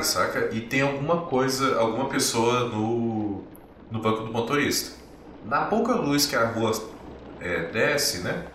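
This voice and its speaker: A man's voice: mid-range voice, bright, forceful tone, a little hiss or hum. A man working as game master, narrating a tabletop scene in a casual, improvised style. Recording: echoey, distant, music behind.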